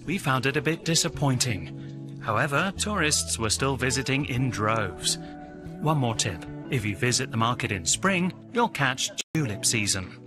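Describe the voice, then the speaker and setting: A man narrates a travel documentary in a smooth, measured voice, with an animated, lively pitch. Loud music plays underneath him throughout.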